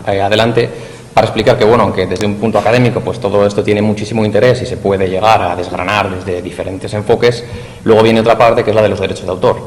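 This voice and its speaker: A man with a Spanish accent giving an academic conference talk in a lecture hall, explaining and addressing his audience directly. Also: lowish voice. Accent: Spain. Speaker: university lecturer. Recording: reverberant hall, faint noise.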